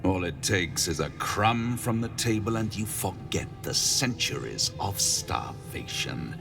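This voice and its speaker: A man's voice: sits low, sing-song, unhurried, creaky, far from the mic, heavily acted. A man acting a haughty, menacing devil lord in a video game, with theatrical, grandiose delivery and precise diction. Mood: mocking. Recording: game audio with music behind.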